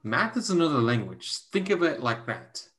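A man reading the part of a gentle young Chinese mathematician and tutor in a staged play reading, a little haltingly. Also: on the high side, sing-song. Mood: earnest. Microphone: video call.

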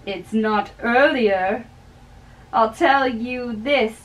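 A young woman giving a theatrical, deliberate dramatic reading of play dialogue. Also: on the high side, a bit bright. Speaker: amateur reader of a play. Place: small bedroom, indoors. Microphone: camera a few metres away. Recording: distant camera in a bedroom.

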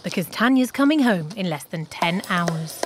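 A woman narrating a reality renovation show in a wry, lively voice-over. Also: fairly low pitch, normal speed, a little hiss or hum. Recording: voice-over with loud music.

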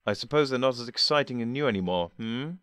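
A man voicing a cool, dispassionate older man who speaks calmly and dryly, with gentle teasing. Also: mid-range voice, fast talker, clear, resonant tone, no echo, close to an everyday voice. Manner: calm, dry, gently teasing.

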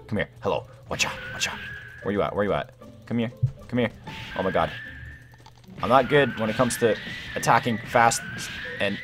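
A young adult man gives casual gameplay commentary, excitable and slangy as he thinks aloud. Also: lowish voice. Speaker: gaming video host.

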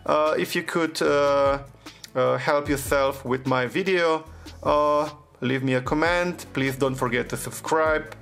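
A man's voice: a little high, a little slow, creaky, fairly bright tone, soft background music. A man hosting a phone repair tutorial, giving plain step-by-step instructions with frequent hesitations. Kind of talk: step-by-step how-to narration.

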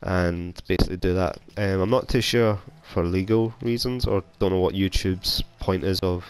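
Deep-voiced Scottish man with a cold. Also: fairly even intonation, moderate pace, clean tone. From Scotland. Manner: rambling, self-deprecating, chatty. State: has a cold. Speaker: gaming YouTuber. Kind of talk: casual gameplay commentary. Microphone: console headset mic.

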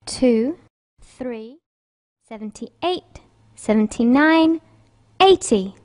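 Woman as curious cartoon girl, cheerful, high-pitched, very slow, careful delivery. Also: animated, darkish tone. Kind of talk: children's cartoon story acting.

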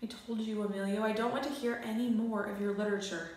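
A woman's voice: medium pitch, fairly even intonation, quick pace, far from the mic, close to an everyday voice. Storybook boy detective, played by a woman: bossy, grandiose, sure of himself, heard in a very echoey room. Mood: dismissive. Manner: grandiose, lofty declarations. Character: pompous, bossy, self-assured boy detective. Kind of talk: children's storybook reading.

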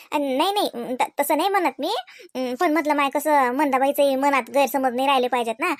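A woman acts a worried visiting in-law in a comedy cartoon, an older lady with a high but soft voice. She halts and hums between phrases, apologetic, in a rural Maharashtra accent, dryly recorded. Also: no echo, studio quality, lightly acted. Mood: anxious, apologetic. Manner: hesitant, halting, soft-spoken, full of ums. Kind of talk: Marathi comedy cartoon acting.

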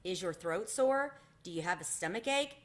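A woman's voice: mid-range voice, animated, moderate pace, close to an everyday voice. A woman voicing an anxious, caring mother in a storybook, asking with concern. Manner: concerned questioning.

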